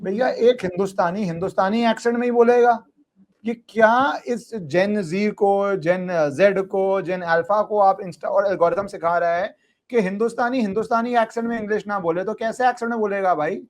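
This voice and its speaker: An Indian man hosting a political podcast, talking indignantly in rapid, emphatic bursts. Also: high voice. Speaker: podcast host. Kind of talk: animated political discussion.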